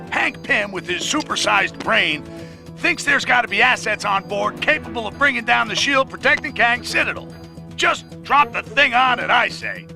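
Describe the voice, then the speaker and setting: Krzykliwy, skrzeczący prezenter wiadomości, bardzo wysoki głos. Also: male voice, extremely high pitch, creaky, sharp tone, heavily acted. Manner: blustering, sarcastic, emphatic. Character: loud, ranting, hero-bashing male news broadcaster. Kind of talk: bombastic news rant.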